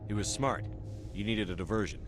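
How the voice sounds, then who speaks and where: A man's voice: fairly low pitch, a little fast, close to an everyday voice. A man playing a terse military commander. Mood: firm. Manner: terse, direct questions. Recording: game scene with music.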